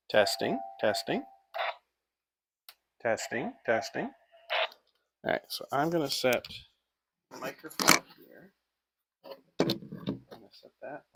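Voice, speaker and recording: Man, mid-pitched voice, casual product reviewer, informal, off-the-cuff unboxing narration. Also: vocal fry, fairly bright tone, slight background noise.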